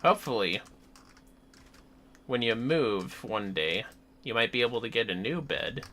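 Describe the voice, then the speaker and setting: Lively, bright-voiced man streaming a game. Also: medium pitch, measured pace. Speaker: livestreamer playing a video game. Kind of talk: casual livestream chat.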